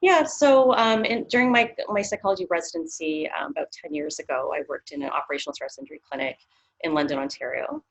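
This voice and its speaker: A woman's voice: sits low, fast, low-quality recording. A Canadian woman psychologist in her thirties, speaking as an interview guest in a thoughtful way, with many fillers and restarts.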